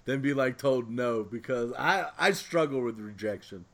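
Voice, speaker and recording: A man's voice: mid-range voice, lively intonation, normal speed, a bit bright. A man hosting a podcast in casual chat, joking around and asking curious questions. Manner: joking, inquisitive. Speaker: podcast host.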